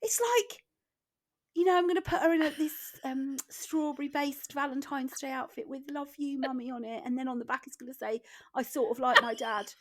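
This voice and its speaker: A woman in her thirties co-hosting a casual chat podcast, animated, laughing often and swearing freely. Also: high voice, moderate pace. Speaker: podcast co-host.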